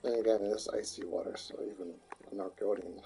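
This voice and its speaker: A man's voice: fairly low pitch, steady tone, quick pace, creaky, mellow, rough recording. A man casually chatting and joking while streaming a fantasy game on a mic. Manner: chatty, joking, talks to chat and characters. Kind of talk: casual gameplay commentary. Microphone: headset or desk mic.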